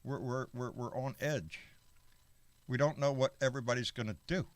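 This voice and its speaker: A man's voice: medium pitch, fairly even intonation, normal speed, no echo. A man hosting a live stream talk show, brash and joking, swearing freely. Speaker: host.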